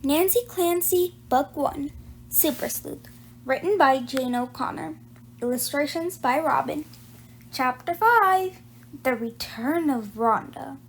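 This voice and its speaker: A woman reading a children's book aloud in an animated storytelling style. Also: sits high, slow, slight background noise, low-quality recording.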